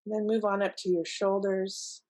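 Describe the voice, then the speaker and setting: A woman leading a guided relaxation in a gentle, unhurried, soothing manner with pauses between instructions. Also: mid-range voice, little pitch movement. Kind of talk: guided meditation, softly instructive.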